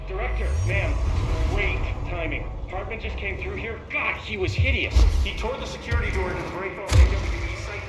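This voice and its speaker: Guy playing shaken game staffer reporting in, high voice, echoey, music blasting. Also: moderate pace, natural voice. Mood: shaken, urgent. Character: shaken male subordinate reporting to his director. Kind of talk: dramatic game dialogue. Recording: echoey, loud music behind.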